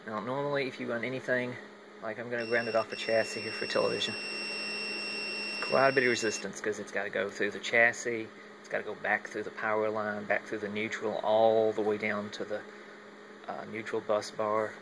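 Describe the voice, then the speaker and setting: Male hobbyist demonstrator, flat and creaky, halting unscripted delivery, filmed on a phone with faint hum behind. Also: lowish voice. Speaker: hobbyist demonstrating a home radio antenna setup. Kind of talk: casual unscripted walkthrough demo. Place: at home, moving between indoors and outside. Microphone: handheld phone while filming.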